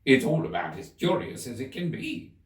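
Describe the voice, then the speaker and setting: A brusque gryphon creature as a man acts it out gives orders in a lively, swinging voice. It is impatient and commanding storybook fare, heard in an echoey room. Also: mid-range voice, normal speed, pitched above the performer's own voice, natural voice. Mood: gruff, opinionated. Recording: echoey room, distant mic.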